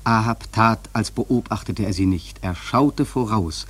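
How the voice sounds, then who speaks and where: A man acting a grave sailor who narrates a radio play in a measured, solemn literary style with dramatic swells and a husky voice. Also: fairly low pitch. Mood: solemn, ominous. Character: grave male sailor narrating a haunted whaling voyage.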